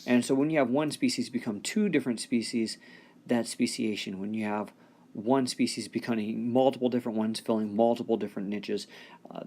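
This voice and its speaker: A man's voice: fairly low pitch, darkish tone. Informal male teacher giving a folksy lecture. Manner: conversational, folksy, joking asides. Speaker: biology teacher.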